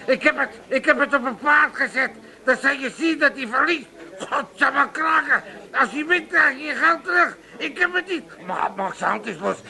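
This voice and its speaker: A man's voice: extremely high pitch, moderate pace, gravelly, pushed and bright, heavily acted, low-quality recording. An older man with an Amsterdam accent playing a wily, self-pitying old father in a comic radio drama, blustering and repeating himself. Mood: panicky pleading.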